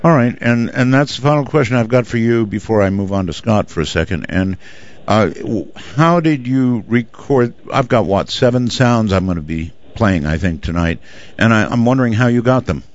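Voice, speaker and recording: A man's voice: fairly low pitch, expressive, moderate pace. A man hosting a radio interview, asking loosely phrased questions. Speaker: radio show host. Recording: dull lo-fi audio.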